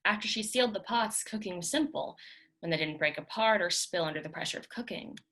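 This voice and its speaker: Low-pitched woman reading literary fiction. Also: lively intonation, brisk, fairly bright tone. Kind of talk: dramatic literary reading, scripted narration.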